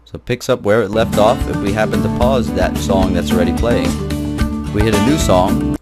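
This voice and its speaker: Low-voiced man giving a casual tutorial. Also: a little fast, loud music behind the voice. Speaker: programming tutorial instructor. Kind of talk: informal screencast tutorial, explaining code step by step.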